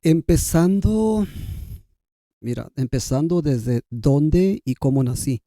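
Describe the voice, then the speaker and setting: A man's voice: fairly high pitch, animated, slow, dark tone, no room sound, clean studio sound. A Guatemalan man, a native K'iche' speaker, telling his personal story conversationally as a podcast guest.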